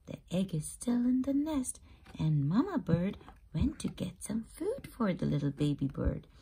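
A woman hosting a read-aloud for young children, narrating expressively and pausing to explain and ask simple questions. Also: medium pitch, big pitch swings, vocal fry, warm tone, a little hiss or hum. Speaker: storybook reader and channel host.

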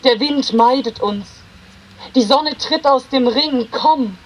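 High-voiced woman reading poetry slowly. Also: a little hiss or hum, low-quality recording. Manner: measured, deliberate phrasing with pauses between short lines. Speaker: poetry reader.

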